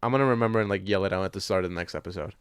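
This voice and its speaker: A man's voice: sits low, racing, clear, resonant tone, no room sound, clean studio sound. A young adult man co-hosting a podcast in casual movie chat, rambling, slangy and profane with a jokey manner. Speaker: podcast co-host.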